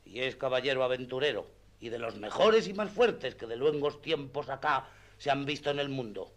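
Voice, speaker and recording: A man's voice: medium pitch, expressive, normal speed, lightly acted. A man playing a talkative, earthy squire in a radio play, folksy and self-important as he explains things. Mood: proud, explaining. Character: talkative, earthy squire, boastful of his master. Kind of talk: radio theatre acting.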